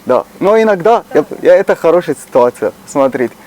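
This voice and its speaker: A man with an Egyptian Arabic accent, a native Arabic speaker, talking earnestly in casual chat and pausing to find words. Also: on the high side, big pitch swings, a little mellow, rough recording. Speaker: shopkeeper.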